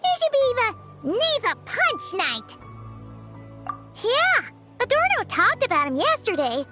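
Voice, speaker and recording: A tiny, childlike fairy sidekick from a game, with an extremely high, squeaky voice. She speaks unhurriedly but with huge swings of pitch, blunt and curious. Loud music plays behind her. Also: wildly swooping pitch, unhurried, full character voice. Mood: indignant, puzzled. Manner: exclamatory, indignant, naive questioning. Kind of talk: video game voice acting.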